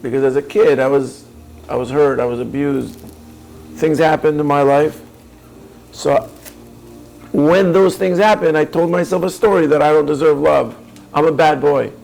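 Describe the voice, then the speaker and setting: A man's voice: fairly high pitch, normal speed, vocal fry, long pauses, darkish tone, a little hiss or hum, rough recording. A man giving a reflective coaching talk, earnest and probing, speaking directly to the listener. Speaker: speaker on personal growth.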